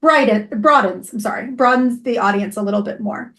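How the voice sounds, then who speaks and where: High-pitched woman interviewer. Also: fairly quick, a bit bright. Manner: informal, says y'all. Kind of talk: casual interview.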